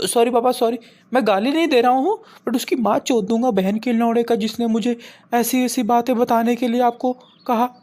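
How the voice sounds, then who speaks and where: A woman plays a wayward young son in a comic cartoon, with a high voice. He is whiny and defensive, repeating his pleas, with a cocky, foul-mouthed edge. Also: darkish tone. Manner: whiny, repetitive pleading.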